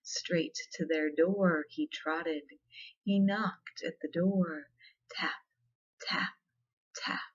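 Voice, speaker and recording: Very slow, hushed woman reading ASMR stories. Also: lowish voice, steady tone, dull audio. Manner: hushed, slow, deliberate, soothing. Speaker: ASMR storyteller, host. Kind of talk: soft-spoken ASMR fairy tale reading.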